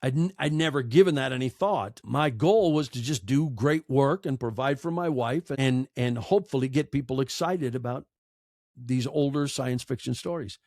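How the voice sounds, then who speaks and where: A man's voice: mid-range voice, a little mellow, no echo. American man in his sixties, dry close sound. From United States. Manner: emphatic, earnest, speaks in short deliberate phrases with repetition. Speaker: podcaster and storyteller, interview guest. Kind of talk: reflective conversational interview answers.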